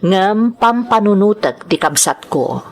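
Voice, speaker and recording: A woman's voice: medium pitch, lively intonation, takes it slowly. Ilocano woman host with a mid-range voice. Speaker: radio drama host reading greetings and letter. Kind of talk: scripted reading.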